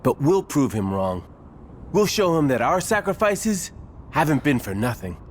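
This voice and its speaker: A man's voice: on the high side, sing-song, unhurried, a little hiss or hum. A man playing an earnest, protective teenage boy hero in a video game, sincere and determined. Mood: reassuring, resolute. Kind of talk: dramatic video game acting.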